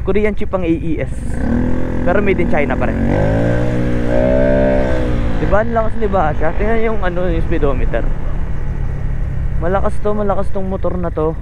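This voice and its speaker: A high-voiced man chats away in a casual, rambling vlog style. He speaks into a little mic tucked in his helmet, with clear motorcycle and street noise around him. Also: huge pitch swings, moderate pace, pauses often. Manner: talkative, rambling. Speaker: motovlogger. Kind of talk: casual vlog chat to viewers. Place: outdoors on a motorcycle at night. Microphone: small intercom mic wrapped in foam inside helmet cheek pad. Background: motorcycle engine and traffic ambience.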